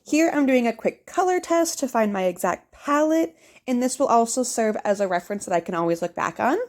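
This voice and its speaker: A young woman narrating a journaling video in a chatty, upbeat voice-over, slightly hoarse from a cold. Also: fairly high pitch, expressive, fairly quick, a little mellow. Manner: chatty, upbeat, talks straight to viewers. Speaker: bullet journal vlogger.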